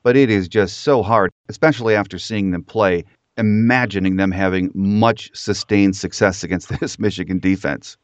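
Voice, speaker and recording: A man's voice: low voice, fairly even intonation, moderate pace, no room sound. An American man hosting a sports podcast, chatting through a football preview with a guest. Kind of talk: sports interview chat. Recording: slightly dull, lo-fi sound.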